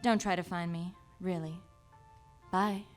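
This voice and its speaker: An actress playing a sweetly dramatic, dismissive runaway bride, reading a farewell letter in a comic radio play. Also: female voice, lowish voice, fairly even intonation, unhurried, soft background music, close to an everyday voice. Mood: apologetic, final. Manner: theatrical, mock-romantic.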